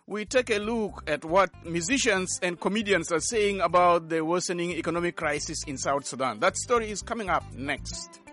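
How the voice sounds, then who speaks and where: A man's voice: high-pitched, moderate pace, hardly any pauses, faint music behind. A man hosting a radio news program, reading formal scripted links. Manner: steady, formal announcing.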